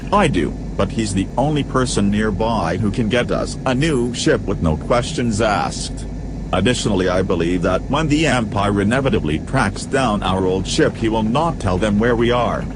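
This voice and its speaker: A man playing a logical, formal droid copilot in an audio drama, with a stiff, precise, deadpan delivery. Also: lowish voice, normal speed, background noise, natural voice.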